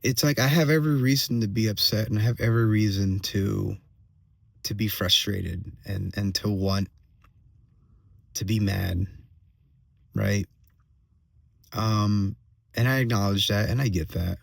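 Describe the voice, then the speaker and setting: A man with a slightly hoarse voice giving a casual personal update as a vlogger, venting in a halting way, repeating phrases and checking in with tag questions. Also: on the low side, steady tone, no room sound. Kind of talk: casual solo monologue, venting.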